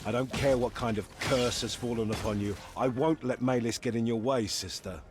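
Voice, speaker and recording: A man's voice: mid-range voice, steady tone, moderate pace, natural voice. Man acting a stern, loyal war veteran, noisy backdrop. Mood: solemn, loyal. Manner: solemn, blunt, formal old-fashioned phrasing. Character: battle-hardened soldier, stern and loyal sworn brother. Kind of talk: dramatic fantasy game acting.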